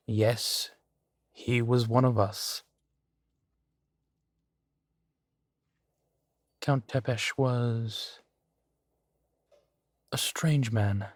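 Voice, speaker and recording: A man playing a centuries-old vampire duke in an intimate roleplay audio, genteel, amused and doting, talking theatrically and savoring his pauses. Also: medium pitch, no echo. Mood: wry, secretive. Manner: unhurried, theatrical, savoring pauses with soft chuckles.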